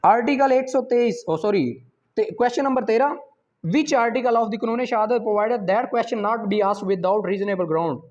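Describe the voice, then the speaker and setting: A Pakistani-accented man teaching a law exam prep class, reading each question out slowly and then explaining it. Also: very high voice, darkish tone. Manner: reads English questions slowly, explains in Urdu. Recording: slightly dull lo-fi audio.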